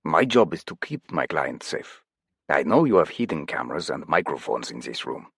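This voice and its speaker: A man voicing a burly male ex-SEAL putting on a fake German accent, speaking gruffly. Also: extremely low pitch, sing-song, normal speed, no echo, studio quality, full character voice. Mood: firm. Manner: gruff, clipped.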